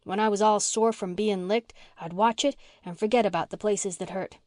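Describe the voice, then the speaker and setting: A woman voicing a scrappy orphan girl who talks in a rough, blunt country dialect. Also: mid-range voice, fairly even intonation, moderate pace, darkish tone, no echo, studio quality, natural voice. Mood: wistful.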